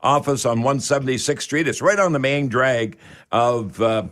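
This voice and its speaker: Guy hosting a live radio call-in, folksy and rambly, mid pitched voice, pauses and hesitates a lot. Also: fairly bright tone. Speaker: radio show host. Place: radio studio.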